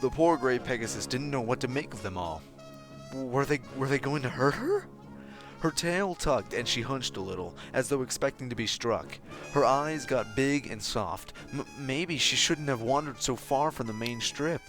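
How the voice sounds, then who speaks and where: A man reads a story as narrator with a very lively, expressive delivery. His voice sits at a middle pitch, and music plays clearly behind him. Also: normal speed. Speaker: fan fiction narrator.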